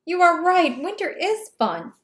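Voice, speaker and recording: A woman's voice: extremely high pitch, big pitch swings, a little slow, a bit bright, pitched above the performer's own voice, full character voice. A woman voicing a grumpy, reluctant, anxious male toad with emphatic delivery in a children's storybook. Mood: delighted. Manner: emphatic, blunt protests. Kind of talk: children's storybook read-aloud.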